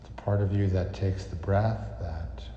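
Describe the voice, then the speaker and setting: Deep-voiced man guiding a meditation. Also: fairly even intonation, dark tone, slight background noise. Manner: unhurried, soothing instruction with long pauses. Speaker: meditation guide. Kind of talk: guided meditation with astrology teaching. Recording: reverberant echo, slightly muffled, music behind. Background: music.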